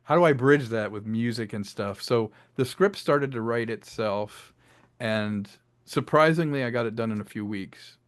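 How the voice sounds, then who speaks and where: A man's voice: medium pitch, moderate pace, dry recording. A director and writer in a casual interview, talking about his audio drama and slipping into voiced quotes. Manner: animated, slips into voiced quotes, laughs between thoughts.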